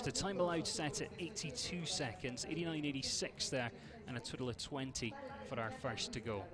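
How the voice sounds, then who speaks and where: Chatty Scottish man commentating show jumping. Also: mid-range voice. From Scotland. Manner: chatty, filler-heavy, greets viewers by name.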